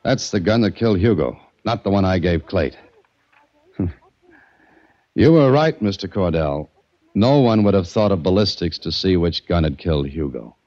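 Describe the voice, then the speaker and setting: A deep-voiced, wry detective. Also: male voice, lively intonation, normal speed, darkish tone. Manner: wry, easygoing, chuckling. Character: wry adult male adventurer-detective. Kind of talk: 1940s radio acting. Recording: dull old radio recording.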